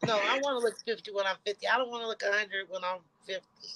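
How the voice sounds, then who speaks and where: A woman hosting a casual interview, encouraging her guest in a relaxed, plain-spoken way. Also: mid-range voice, fast talker, heavy background noise. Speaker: podcast host.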